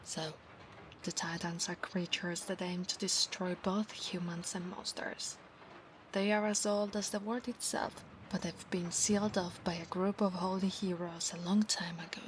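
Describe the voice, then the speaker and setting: A woman with an Italian accent playing a proud, obsessive female centaur knight in a soft-spoken, formal ASMR roleplay, often dropping into breathy whispers. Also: on the low side, steady tone, unhurried, natural voice, rough recording. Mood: calm, proud. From Italy. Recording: clear background noise, ambience.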